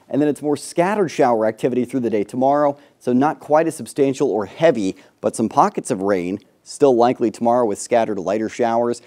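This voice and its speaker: Warm-voiced man giving a TV weather forecast. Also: mid-range voice. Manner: upbeat, steady on-air delivery. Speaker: TV meteorologist.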